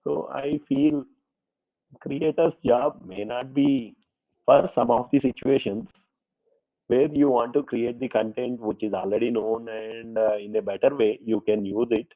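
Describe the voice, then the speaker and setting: A man's voice: medium pitch, steady tone, unhurried. A man with an Indian English accent giving an online workshop lecture, a little under the weather with a cold, hesitant with many fillers and politely calling his listeners sir. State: voice affected by fever and cold. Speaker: presenter at an online faculty workshop. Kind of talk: lecture presentation with Q&A. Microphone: online meeting audio. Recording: tinny phone-like online call audio.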